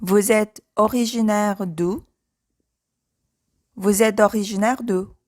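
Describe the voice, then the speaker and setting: A woman's voice: mid-range voice, fairly even intonation, a little mellow. Woman reading language drills, carefully enunciated. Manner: measured, careful enunciation with repeated phrases. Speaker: language teacher. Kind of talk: scripted language drill, sentences read out and repeated.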